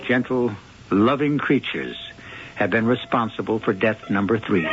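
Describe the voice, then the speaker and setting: Ominous male mystery narrator over music. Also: mid-range voice. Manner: ominous, measured. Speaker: host and narrator. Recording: dull vintage radio broadcast. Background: dramatic music.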